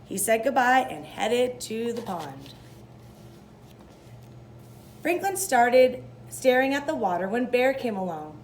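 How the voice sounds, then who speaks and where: A woman reads a children's story aloud in an expressive way. Her voice sits fairly high and swings widely in pitch. There is background noise in the recording. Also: takes it slowly, long pauses. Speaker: storybook reader.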